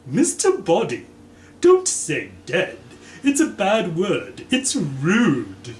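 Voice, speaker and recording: A man's voice: high-pitched, huge pitch swings, drawn-out words, voice pushed up, exaggerated voice. A man voicing a plump, prim society lady, fussy and easily outraged, in a storybook reading. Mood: prim outrage. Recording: echoey room, faint background noise.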